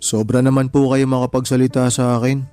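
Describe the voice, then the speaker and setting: Man acting as humble, even-toned Filipino son-in-law. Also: mid-range voice, a little fast, smooth, no echo, natural voice. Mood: wounded, humble. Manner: polite, respectful, earnest. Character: humble, patient young janitor pleading with girlfriend's disapproving mother. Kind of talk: Filipino radio drama acting. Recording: music bed under the voice.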